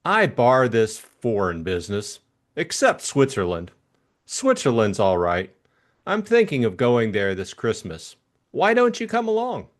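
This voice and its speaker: A man's voice: medium pitch, huge pitch swings, a little slow. A man voicing a chatty, eager, simple-hearted young upper-class Englishman in an audiobook, gushing and rambling in slangy talk. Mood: cheerful. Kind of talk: audiobook character acting.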